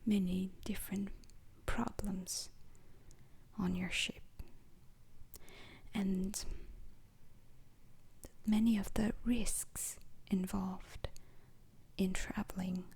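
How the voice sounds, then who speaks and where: A woman giving a whispered ASMR roleplay lecture as a calm, methodical space-mission instructor, breathy and crackly, pausing deliberately between short phrases. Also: mid-range voice.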